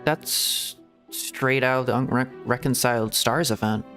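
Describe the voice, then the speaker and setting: A man in an easy middle register chats while he plays a story game. He muses out loud, circling back and hedging, breaking into small laughs. Soft game music runs quietly underneath. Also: medium pitch, steady tone, measured pace. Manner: rambling and reflective, with hedges and soft laughs. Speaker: VTuber streamer. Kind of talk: casual gameplay commentary.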